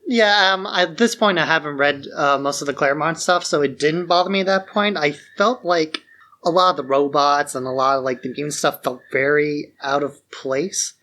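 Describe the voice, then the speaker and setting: A man's voice: a little high, normal speed, sharp tone. A man co-hosting a podcast, giving his opinions on films in an informal, conversational way. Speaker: podcast co-host. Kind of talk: casual film discussion podcast.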